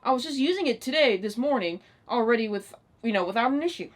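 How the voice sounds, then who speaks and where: A woman's voice: on the high side, lively intonation, fast. A nineteen-year-old woman ranting in a casual, unscripted vlog style, exasperated and emphatic, with plenty of swearing. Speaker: online video creator.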